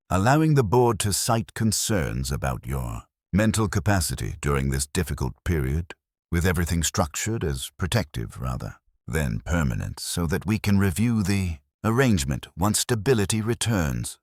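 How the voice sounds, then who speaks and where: A man reading a scripted story as narrator in a measured, formal way. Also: a bass voice, breathy edge, no room sound, clean studio sound.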